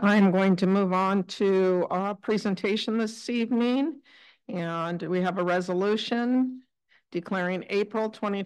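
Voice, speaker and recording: A woman serving as mayor, chairing a city council meeting and reading a resolution in a measured, even way. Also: mid-range voice, clear, resonant tone. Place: council chambers.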